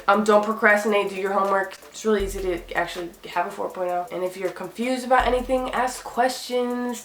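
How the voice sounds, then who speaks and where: Young woman, sassy and upbeat, tired cracking voice, medium pitch, roomy echo. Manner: chatty, sassy, upbeat, lots of "like". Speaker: vlogger giving school advice. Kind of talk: casual talk to camera.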